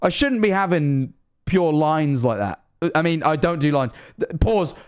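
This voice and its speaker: Man, Scottish accent, 21, streamer, self-mocking, fairly high pitch, desk mic. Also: animated, a little slow, clear, resonant tone. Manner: rambling, self-mocking, swears often. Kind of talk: casual reaction stream.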